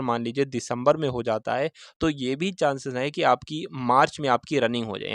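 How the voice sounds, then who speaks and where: A man's voice: medium pitch, no room sound, clean studio sound. A man giving an informal explainer to viewers as a video host, repeating himself and often checking in with short tags. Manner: repetitive, with frequent check-in tags. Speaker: exam-news video host.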